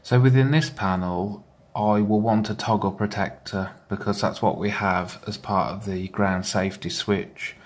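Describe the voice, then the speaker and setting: A man giving a measured, methodical walkthrough of a hobby build, explaining it step by step. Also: on the low side. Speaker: hobbyist builder presenting his project.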